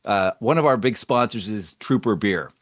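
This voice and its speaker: A man's voice: fairly low pitch, expressive, moderate pace, a bit bright, dry recording, studio quality. A man hosting a casual rock music interview, speaking informally and affirming his guest as he asks questions. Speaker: interview host.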